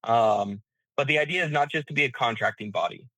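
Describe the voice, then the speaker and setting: A man speaking as an interview guest, explaining his business in a halting, thinking-aloud way with repeated words. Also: medium pitch, bright, forceful tone, no room sound, poor audio. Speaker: interview guest, healthcare management founder.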